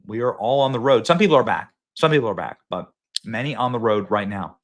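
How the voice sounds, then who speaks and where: A man hosting a live political talk show from a phone, excitable and rambling, often repeating himself. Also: mid-range voice, fairly quick. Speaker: host.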